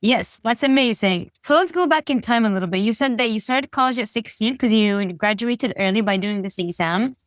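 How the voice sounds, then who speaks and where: Enthusiastic female podcast host, slightly high voice, dry close recording. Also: a little fast, hardly any pauses, no room sound. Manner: enthusiastic, upbeat, laughs easily. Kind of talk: casual interview hosting.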